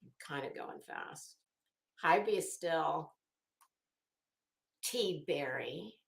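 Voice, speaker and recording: A woman's voice: low-pitched, lively intonation, very slow, vocal fry. A woman hosting a casual livestream chat, talking to viewers in a gossipy way with chuckling, coy asides. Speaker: livestream host. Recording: roomy, echoey room sound.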